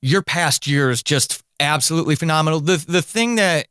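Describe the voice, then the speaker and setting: A man's voice: on the high side, normal speed, sharp tone, clean tone, no room sound, clean studio sound. A man hosting a podcast interview, speaking in an effusive, emphatic, enthusiastic way.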